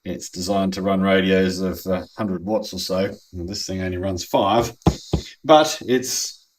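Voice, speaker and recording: A man's voice: low-pitched, fairly even intonation, unhurried, a little hiss or hum. An Australian man giving a casual hands-on product review in a laid-back, plain-spoken way, as if thinking aloud. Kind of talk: casual unboxing and review commentary.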